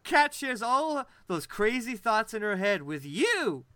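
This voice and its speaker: A man's voice: sits high, very animated, slow, bright, forceful tone, no echo, clean studio sound. A man co-hosting a podcast, chatting casually and mocking what he reads out, laughing a lot. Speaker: podcast co-host. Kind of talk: casual chat.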